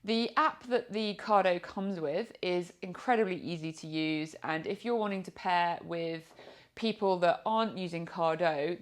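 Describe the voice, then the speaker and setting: A woman with a British accent giving an informal product review in a chatty, explanatory way. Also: on the low side. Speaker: product reviewer and motorcycle vlogger.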